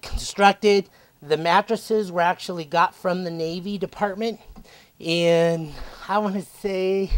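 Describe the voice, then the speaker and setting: A middle-aged man with a slightly high voice leads a guided tour through a ski lodge, talking into a handheld mic. He is friendly and rambles, using plenty of fillers as he points things out. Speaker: club member giving a cabin tour. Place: indoors, ski lodge kitchen and dormitory.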